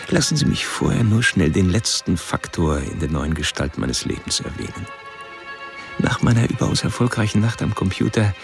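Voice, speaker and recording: An actor playing a cold-blooded, philosophizing serial killer who narrates a radio crime drama in a deliberate, confiding, coolly pompous way. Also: male voice, sits low, gravelly. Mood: smug, sardonic. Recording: music under the voice.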